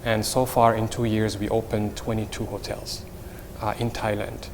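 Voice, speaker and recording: A middle-aged man with a Moroccan accent explains things in a semi-formal interview as a company executive, haltingly and with repeated words. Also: on the low side, flat delivery. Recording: reverberant hall, faint background noise.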